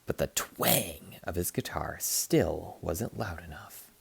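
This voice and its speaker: A man reading a children's picture book aloud like a teacher, in an expressive, playful way. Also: low voice, slow pace, vocal fry, slightly rough. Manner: expressive, playful, acts out sound effects.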